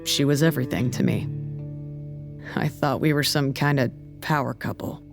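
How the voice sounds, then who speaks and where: An actor playing a jaded, blunt woman in an audio drama, candid and sardonic, giving halting tough-love advice. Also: extremely low pitch, gravelly, over music. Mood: bitter, wistful. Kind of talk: naturalistic audio drama dialogue.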